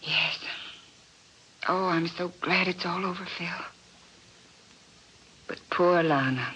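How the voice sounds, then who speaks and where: An actress playing an anxious young heroine in a 1940s radio drama. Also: female voice, on the low side, slow, sharp tone, slightly rough, exaggerated voice, low-quality recording.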